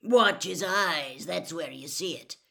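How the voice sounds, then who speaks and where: A woman voicing a gruff, grizzled old male wizard teacher who growls and barks his commands loudly and slowly. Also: mid-range voice, lively intonation, exaggerated voice. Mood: rough approval. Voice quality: growling, gravelly. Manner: barking commands, loud and slow.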